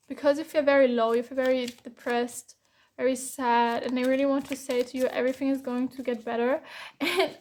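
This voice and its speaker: A woman from Germany giving a casual tarot reading, hoarse with a cracking voice, reassuring and repeating herself. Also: high voice. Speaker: tarot reader.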